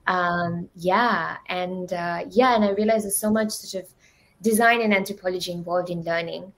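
A young woman from Sri Lanka, a guest on a video call, talking softly and hedging often with fillers. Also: fairly low pitch, steady tone, normal speed. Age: young adult. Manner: soft-spoken, many ums and hedging "sort of". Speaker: guest, learning anthropologist. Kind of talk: casual video-call chat. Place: home room. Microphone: video call, laptop mic.

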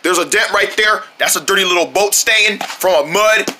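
Excitable childlike cartoon friend, played by an amateur, piercingly bright and very animated, playful banter, background noise. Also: medium pitch, normal speed, close to an everyday voice. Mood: excited. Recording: noisy home recording with clicks.

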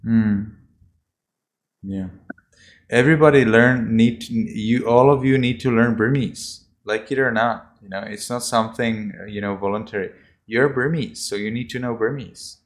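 A man's voice: mid-range voice, moderate pace. A man teaching children over a video call, talking. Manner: rambling, repeats himself, uses "you know". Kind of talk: casual online class talk. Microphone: laptop mic on video call. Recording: echoey video call audio.

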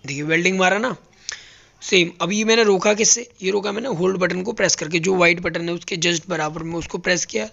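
A man's voice: sits high, fairly quick, vocal fry, sharp tone, highs cut off. A man teaching robot welding step by step, explaining each button as he presses it on a factory floor. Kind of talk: hands-on tutorial.

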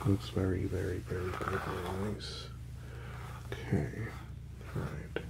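A man in his thirties speaking softly and soothingly in an ASMR hairdresser roleplay, hushed and reassuring. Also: low-pitched, monotone, a little slow, gravelly, heavy background noise. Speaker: ASMR performer playing a hairdresser. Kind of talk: ASMR roleplay, soft-spoken. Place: small indoor room with salon products.

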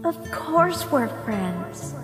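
A woman plays a timid young ghost girl in a cartoon comic dub. The voice is high and unhurried, hesitant and apologetic, trailing a long reverb. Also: sing-song, over loud music, exaggerated voice. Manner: hesitant, soft-hearted, anxious. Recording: echoing reverb effect.

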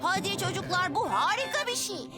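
Excitable, very high-pitched little motorbike boy. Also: brisk. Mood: thrilled, urgent. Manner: eager, exclamatory. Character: excitable young red rescue motorbike boy, eager and brave. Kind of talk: animated children's cartoon dub. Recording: loud cartoon music behind.

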